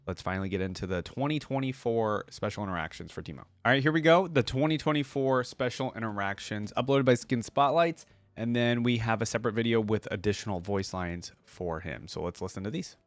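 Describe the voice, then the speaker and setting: A man's voice: fairly low pitch, fairly quick, few pauses, no echo. A man hosting a reaction video in a casual, easygoing, chatty way, sometimes reading aloud.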